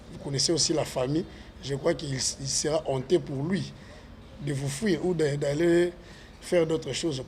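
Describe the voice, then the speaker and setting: A man's voice: on the high side, a little mellow, a little hiss or hum. A man, a parliamentary candidate answering interview questions with earnest, repetitive appeals to voters. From Congo.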